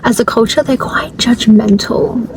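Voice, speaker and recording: Aussie woman, kinda high voice, candid and lively, noise humming behind. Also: a little slow. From Australia. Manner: candid, animated, drops to a whisper for asides. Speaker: actress guest. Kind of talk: casual interview chat. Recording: clear background noise.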